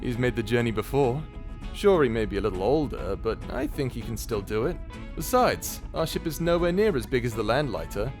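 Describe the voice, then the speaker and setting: A man's voice: medium pitch, expressive, brisk, lightly acted. A man playing a cocky, persuasive space pirate captain in an audio drama, flippant and rousing. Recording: background music under the voice.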